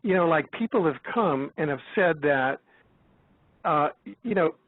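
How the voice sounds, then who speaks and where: An American man of seventy talking in a rambling, halting way in an interview, often restarting and repeating his words. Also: fairly high pitch, lively intonation, no room sound. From United States, born in Florida. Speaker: guest, author and researcher. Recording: tinny phone-like line, dry.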